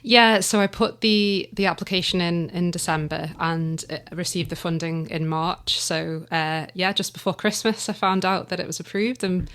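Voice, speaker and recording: A woman guest describing her new audio drama in a casual interview, pausing often with ums and restarts. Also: fairly low pitch, fairly bright tone. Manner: hesitant, with many ums and restarts.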